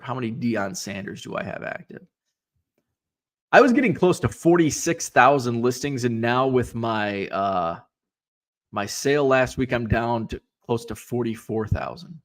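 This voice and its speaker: A man in his forties, a sports card seller hosting his own show, thinking aloud in casual, rambling solo talk full of restarts. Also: mid-range voice.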